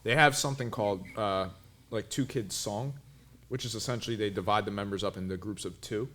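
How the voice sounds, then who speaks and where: A man's voice: lowish voice, fairly quick, fairly bright tone, a little hiss or hum. A man co-hosting a reaction video, explaining at length in a rambling, enthusiastic way with many restarts. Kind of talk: casual reaction commentary.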